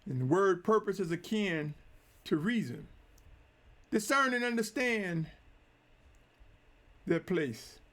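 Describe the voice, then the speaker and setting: A man preaching a sermon in a deliberate, emphatic way, drawing out key phrases. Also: high voice, sing-song, a bit bright.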